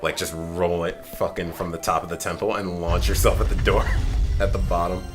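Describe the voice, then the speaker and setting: A man's voice: deep voice, little pitch movement, normal speed. A young man doing casual let's-play commentary, rambling and swearing as he explains the game. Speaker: gaming commentator. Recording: game music behind the voice.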